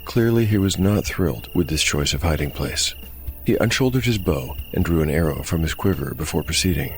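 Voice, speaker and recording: A man narrating a scripted dark fantasy tale as game master, in a measured storytelling manner. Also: rumbling low, expressive. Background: music.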